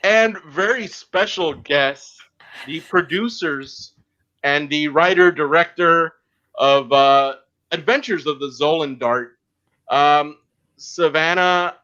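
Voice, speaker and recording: A man with a bright, slightly high voice hosts a casual podcast interview. He feels his way through sentences in a halting style, with frequent pauses. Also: dull audio. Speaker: podcast host.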